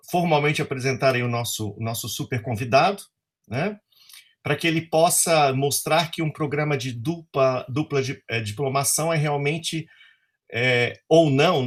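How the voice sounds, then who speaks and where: A man hosting an academic webinar over a video call, reading a formal introduction with frequent fillers. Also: medium pitch. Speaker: webinar host.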